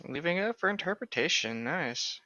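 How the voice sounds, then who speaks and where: Man, very bright forceful voice, flippant, casual joking co-host, dry, slightly lo-fi mic. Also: a little high, lively intonation, a little fast. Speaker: podcast co-host. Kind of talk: casual chat, joking asides.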